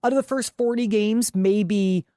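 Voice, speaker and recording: A woman's voice: medium pitch, a little slow, mellow, no room sound, clean studio sound. A woman co-hosting a sports podcast, talking casually and emphatically with loose swearing. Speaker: podcast co-host.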